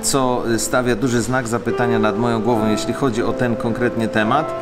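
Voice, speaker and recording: A man talking casually to viewers in a vlog, in a reflective, measured and somewhat hesitant way. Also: mid-range voice, steady tone. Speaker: vlogger. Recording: loud music behind the voice. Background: guitar music.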